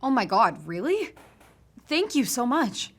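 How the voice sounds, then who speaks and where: A young actress playing a polite, vulnerable teenage hitchhiker in an audio drama, speaking hesitantly and self-effacingly. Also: female voice, on the high side, very animated, measured pace, a bit bright, full character voice. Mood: grateful. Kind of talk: naturalistic audio fiction acting.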